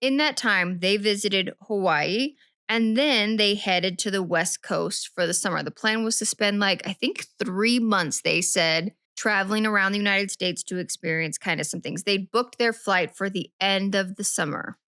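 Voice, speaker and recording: A woman co-hosting a true-crime podcast, retelling a case in a chatty, meandering way and fixing her own slips as she goes. Her voice sits at an average pitch for a woman. The sound is dry and close. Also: normal speed, sharp tone, smooth, no echo. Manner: chatty, rambling, self-correcting. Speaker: podcast co-host.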